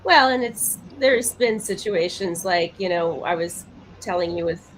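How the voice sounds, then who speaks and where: Female co-host, slightly low voice, emphatic conversational delivery, faint noise behind her. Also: unhurried, a bit bright, low-quality recording. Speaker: podcast co-host. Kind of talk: casual chat.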